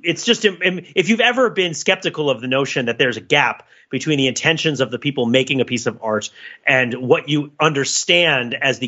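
A man's voice: medium pitch, sharp tone, breathy edge, muffled recording. A man co-hosting a podcast, casually analysing film in a discursive way, peppering his points with filler phrases like "you know". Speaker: podcast co-host.

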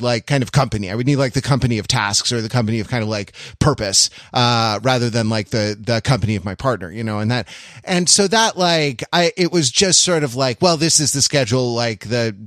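A man talks casually as a podcast co-host, in an average-pitched voice recorded very dry. He tells things in an animated, rambling way, drifting into digressions and dropping in wry asides. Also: no room sound.

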